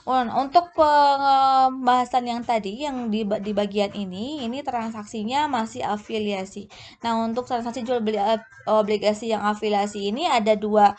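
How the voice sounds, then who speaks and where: A woman giving an informal explanatory lecture as a tutor, going step by step in a somewhat halting, hesitant way. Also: on the high side, steady tone, darkish tone. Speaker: accounting tutor.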